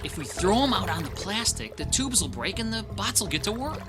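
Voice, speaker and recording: An actor playing a confident, eager eco-saboteur leading a crew at sea in a radio drama. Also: male voice, high voice, big pitch swings, brisk, over loud music. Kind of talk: modern radio drama acting.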